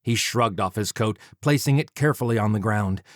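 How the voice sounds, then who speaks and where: A man narrating a first-person story as an audiobook reader, dramatic, measured and deliberate, close to the microphone. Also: on the low side, no echo.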